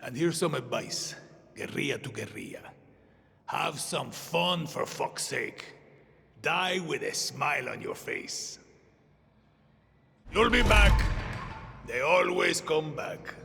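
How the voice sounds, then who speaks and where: A man playing a cocky, cynical old guerrilla weapons maker in a video game, with a gravelly voice and a sardonic, swaggering, drawn-out delivery. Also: mid-range voice, sing-song, pushed and bright, heavily acted. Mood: gleefully cynical. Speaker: arms supplier and mentor. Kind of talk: video game character acting. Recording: long reverb, music behind. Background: music.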